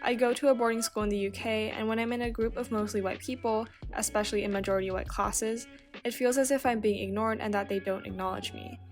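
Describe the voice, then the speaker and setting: A woman reading out submitted personal stories in a steady, earnest way. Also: on the high side, fast talker, soft background music. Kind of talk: scripted reading.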